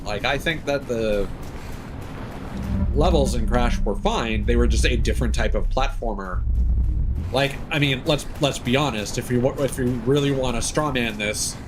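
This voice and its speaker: A man's voice: medium pitch, moderate pace, music in the background. A man doing casual gaming commentary as a live streamer, rambling and opinionated as he thinks aloud. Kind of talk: casual gaming commentary, chatting while playing.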